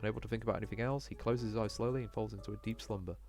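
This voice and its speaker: A man's voice: lowish voice, fairly even intonation, racing, darkish tone. A man reading a visual novel aloud as a let's player, stumbling a little over the text. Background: game music.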